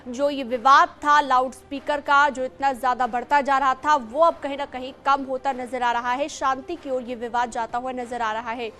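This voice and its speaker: A woman's voice: high-pitched, fairly even intonation, moderate pace, hardly any pauses, pushed and bright, a little hiss or hum. A woman presenting live television news as an anchor, in an emphatic, repetitive manner.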